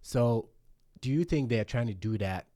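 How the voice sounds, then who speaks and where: A man's voice: medium pitch, expressive, a little slow, warm tone, no echo, clean studio sound. A man hosting a casual podcast conversation, reflective and thinking aloud with many fillers. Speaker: podcast host.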